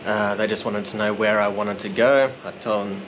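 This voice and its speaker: A man narrating a casual vlog in a relaxed, rambling, self-deprecating way. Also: lowish voice, moderate pace, background noise. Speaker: vlogger.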